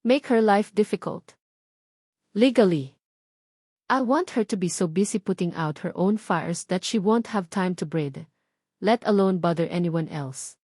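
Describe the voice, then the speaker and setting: A woman's voice: lowish voice, a little slow, a little mellow, dry recording, clean studio sound. Woman voicing a cold, hard, commanding businessman. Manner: commanding, unwavering, code-switching between Tagalog and English. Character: cold, hard businessman protective of his family.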